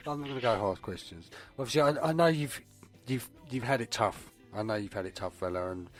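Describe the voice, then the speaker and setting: A male radio co-host with a medium-pitched voice over faint music. He chats casually and haltingly, often repeating a phrase before finishing it.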